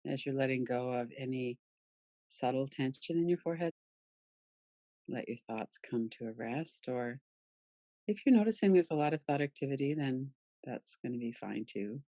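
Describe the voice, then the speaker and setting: Female meditation guide, soft-edged dark tone, close dry sound, soothing delivery with pauses. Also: rumbling low, no room sound. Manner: soothing, gentle, unhurried with pauses. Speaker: yoga nidra meditation guide.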